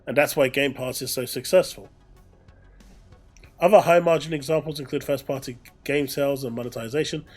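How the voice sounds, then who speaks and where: A man with a mid-pitched voice works as a gaming news commentator, talking over faint background music. He moves between reading aloud and casual explanation, restating his points for emphasis. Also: breathy edge. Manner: explanatory, repeats phrases for emphasis.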